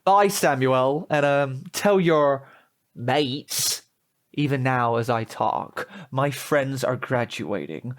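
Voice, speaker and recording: Too-perfect teenage boy, pompous, formal, smug menace, slow measured delivery, radio drama villain. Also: a little high. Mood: smug, sinister.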